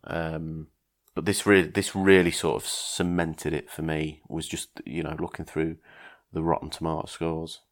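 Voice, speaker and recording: A man's voice: extremely low pitch, little pitch movement, a little slow, hardly any pauses. A man hosting a casual film podcast, talking in a hesitant way with many ums and restarts. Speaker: podcast host.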